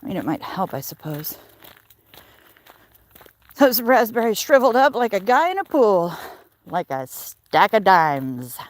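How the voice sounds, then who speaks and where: A woman's voice: medium pitch, very animated, unhurried, long pauses. A woman chatting casually and playfully on a livestream while out walking, with a wry, salty, rambling manner. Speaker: livestreamer hosting a walk-along. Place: outdoors on a neighborhood walk. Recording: noisy outdoor handheld recording.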